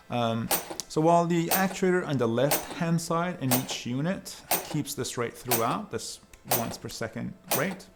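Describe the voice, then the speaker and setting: A man from Iran giving an explanatory talk as a sound artist, in measured, hesitant phrases with pauses. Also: mid-range voice, gravelly, noisy background. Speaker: sound artist presenting own work.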